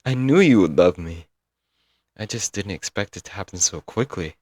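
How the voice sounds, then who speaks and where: Young male yandere stalker, played by a man: very low, dry-recorded, doting, earnest, clingy, for intimate audio roleplay. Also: animated, normal speed, darkish tone, slightly rough, no echo. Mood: touched, hopeful. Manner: doting, earnest, dramatic with sighs and chuckles. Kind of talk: intimate ASMR audio roleplay, scripted monologue.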